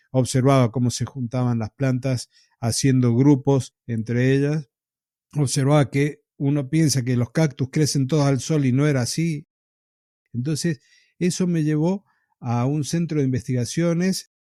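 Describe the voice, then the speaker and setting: An Argentine man with a warm, relaxed voice tells his own story at an easy pace. He sounds reflective, pausing now and then as he remembers. The sound is close and dry, with no room around it. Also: medium pitch, no echo. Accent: Argentine Spanish. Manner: reflective, unhurried, with pauses and soft laughs. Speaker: podcast host telling his own story. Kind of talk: casual storytelling in an interview.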